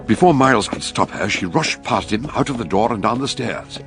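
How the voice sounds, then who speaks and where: An actor playing the narrator of a detective radio drama, deliberate and suspenseful. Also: male voice, fairly low pitch, expressive, fairly bright tone, soft background music.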